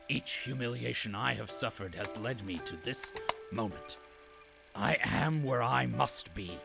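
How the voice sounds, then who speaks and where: Gravelly, pompous older zealot. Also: male voice, mid-range voice. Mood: wounded, resolute. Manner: pompous, solemn, ornate. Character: bitter, grandiose older male company loyalist recording in exile. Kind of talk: audio drama monologue. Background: music.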